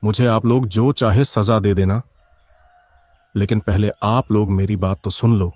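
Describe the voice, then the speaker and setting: A man's voice: on the low side, fairly even intonation, a little slow, darkish tone, dry recording. A man voicing a hot-tempered, deceitful young husband in a cartoon story. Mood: pleading.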